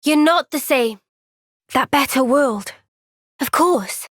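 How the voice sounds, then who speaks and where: An actress playing a young soldier heroine in a video game, earnest, gentle and resolute, speaking with soft, measured sincerity. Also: female voice, high voice, animated, no room sound, exaggerated voice. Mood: thoughtful, earnest. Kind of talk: video game cutscene voice acting.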